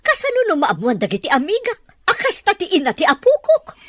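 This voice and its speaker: A Filipino woman voicing a haughty, scornful older rich mother in an audio drama, with a husky, disdainful delivery. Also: extremely high pitch, huge pitch swings, fairly quick, a bit bright, no echo, full character voice. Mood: scornful, outraged.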